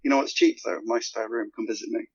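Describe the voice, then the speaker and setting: A man's voice: medium pitch, wildly swooping pitch, fast talker, creaky, a little mellow, slightly rough. A man talking casually as a guest author on a group podcast. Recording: muffled lo-fi voice chat audio.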